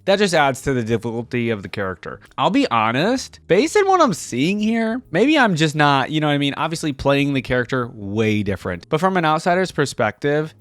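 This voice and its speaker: A man's voice: mid-range voice, no room sound. A man giving casual gaming reaction commentary as a video host, thinking aloud in a conversational way.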